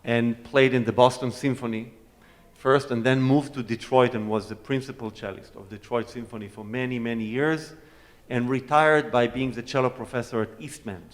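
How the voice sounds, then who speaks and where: A man's voice: medium pitch, fairly even intonation, moderate pace. A man whose first language is Hebrew, a guest cellist answering audience questions in a friendly, explanatory way and demonstrating as he talks. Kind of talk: Q&A talk with a concert audience. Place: concert hall. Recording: echoey hall, voice from far off.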